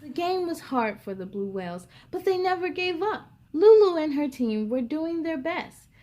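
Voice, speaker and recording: A woman's voice: high voice, sing-song, moderate pace, dark tone. A woman hosting a read-aloud for young children, telling picture-book stories expressively and speaking straight to her listeners.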